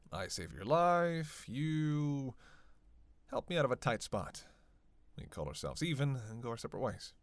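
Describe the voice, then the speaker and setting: A man's voice: mid-range voice, dry recording. A man playing a witty, bloodthirsty vampire in a teasing roleplay monologue, sardonic and conversational. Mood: brisk, resolved. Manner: sardonic, playful, conversational with sighs and pauses. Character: teasing, witty, bloodthirsty male vampire, centuries-worn cynic. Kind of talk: flirtatious dark roleplay monologue to one listener.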